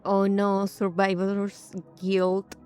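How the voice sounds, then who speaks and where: A woman's voice: medium pitch, monotone, takes it slowly, a little mellow, soft background music. A woman streamer giving casual game commentary in short, musing reactions.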